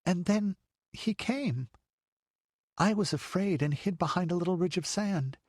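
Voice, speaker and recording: A man's voice: high voice, a little slow, a little mellow, dry recording, clean studio sound, voice pushed up. A man voicing a frightened little girl who talks breathlessly and pleads.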